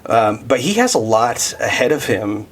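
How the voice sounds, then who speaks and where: A man's voice: mid-range voice, big pitch swings, normal speed. A man in his thirties giving a casual devotional Bible teaching, thinking aloud with many restarts and fillers. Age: 30s.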